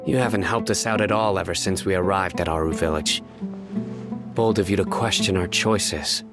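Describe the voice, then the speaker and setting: A man voicing a terse, deep-voiced warden. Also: moderate pace, lightly acted. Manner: curt, stern. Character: stern young male desert warden, blunt and terse. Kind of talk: video game dub. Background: music.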